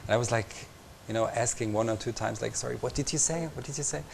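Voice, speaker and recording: A young Austrian man, a native German speaker, talks in English at an even, mid pitch. He pauses often and searches for words with lots of fillers. There is a faint hum of room ambience behind him. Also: creaky. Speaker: visiting reporter, guest. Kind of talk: casual interview. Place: tv station room.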